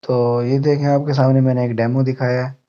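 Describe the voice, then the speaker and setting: Male tutorial host, mellow and fairly monotone, instructional delivery, dull lo-fi recording. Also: medium pitch, brisk, slight background noise. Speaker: tech channel host. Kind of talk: app tutorial narration. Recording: muffled, dull lo-fi voice-over.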